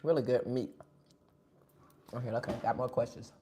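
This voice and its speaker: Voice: mid-range voice, steady tone, moderate pace, mellow, husky. A live-stream host chatting casually between bites of food, sniffling. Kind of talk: casual live-stream chat while eating.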